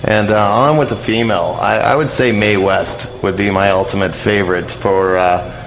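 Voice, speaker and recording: A deep-voiced man talks casually as a radio interview guest. He rambles, halting often and doubling back on words. The recording is dull and lo-fi, with noise in the background. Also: fairly even intonation, gravelly.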